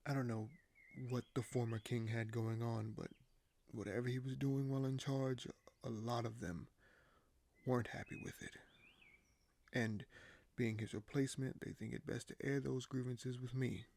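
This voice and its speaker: A man playing a weary, paranoid, dryly candid king in an audio roleplay, speaking in measured, formal phrases with hesitant pauses. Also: mid-range voice, level tone, creaky, a little mellow. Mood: wary, frustrated. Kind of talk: audio roleplay monologue to a silent listener.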